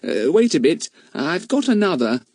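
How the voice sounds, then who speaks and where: A man's voice: fairly high pitch, sing-song, normal speed, a little mellow, dry recording, studio quality, voice pushed up. A man voicing a cheeky, mischievous schoolboy who teases in a falsely sweet, polite way. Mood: mock-sweet. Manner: mock-polite and sweetly teasing.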